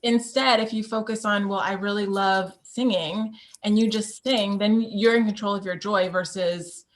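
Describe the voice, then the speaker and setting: A woman's voice: mid-range voice, fairly even intonation, normal speed. A woman, speaking as a podcast guest in a candid, reflective conversation and laughing easily. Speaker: podcast guest, Broadway performer. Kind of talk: casual conversation.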